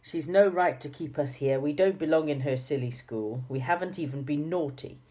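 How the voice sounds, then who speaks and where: A woman's voice: deep voice, moderate pace, dark tone, close to an everyday voice. A woman reading a storybook aloud, voicing a sensible young boy who sounds puzzled and indignant. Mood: gloomy.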